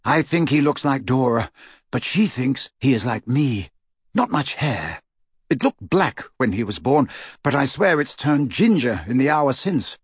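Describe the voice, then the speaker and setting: A man's voice: mid-range voice, very animated, measured pace, husky, dry recording, clean studio sound. A man voicing a weary middle-aged male wizard in an audiobook, with emphatic, formal declarations. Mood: happy, warm. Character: frightened then overjoyed weary middle-aged male wizard werewolf friend.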